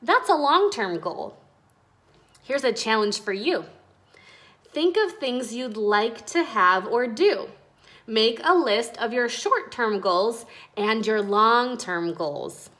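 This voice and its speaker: A woman reading a children's book aloud in an expressive, deliberate storytelling style. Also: on the high side. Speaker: read-aloud reader.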